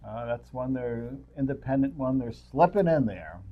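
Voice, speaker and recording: An older man with a mid-pitched voice co-hosts a casual film-review show. He talks in a loose, rambling way, and the recording is slightly dull and lo-fi. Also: animated, moderate pace. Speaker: co-host. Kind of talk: casual movie-review chat.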